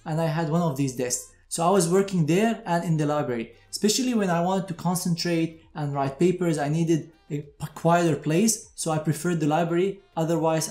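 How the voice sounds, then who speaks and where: Higher-voiced man explaining in a roomy space. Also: darkish tone. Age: 30s. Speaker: doctor presenting advice to medical graduates. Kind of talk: scripted explainer to camera. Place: indoor room with shelves, seated. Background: music.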